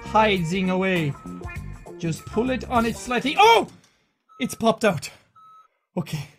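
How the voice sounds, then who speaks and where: A man's voice: high voice, big pitch swings, takes it slowly, soft background music, full character voice. A man playing a cocky, foul-mouthed, manic quack surgeon with a mock German accent, theatrical and erratic, in comedic video game commentary. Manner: theatrical, boastful, swearing, erratic outbursts.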